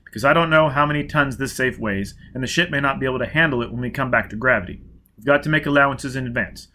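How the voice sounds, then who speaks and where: A man voicing a brutal, driven spacer who barks clipped, loud orders in an audiobook reading. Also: medium pitch, fast, a little hiss or hum, close to an everyday voice. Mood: urgent.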